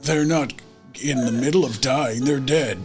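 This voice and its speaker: A man playing a gruff, God-fearing character who is cautious, unhurried and talks down to others. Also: mid-range voice, over loud music, lightly acted. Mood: patronizing.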